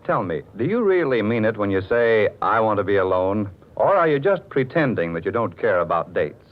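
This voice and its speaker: A man's voice: lowish voice, sing-song, normal speed. A man with an American accent reading 1940s radio commercials in a polished, emphatic salesman's delivery. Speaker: radio advertising announcer. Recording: tinny 1940s radio, faint music.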